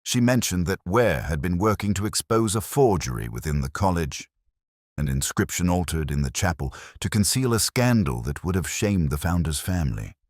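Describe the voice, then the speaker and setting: Brooding, world-weary inspector, played by a man, measured and reflective literary narration, extremely low voice, dry close recording. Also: animated, a little mellow, dry recording. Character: brooding, world-weary English police inspector recounting a case. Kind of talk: literary first-person scripted narration.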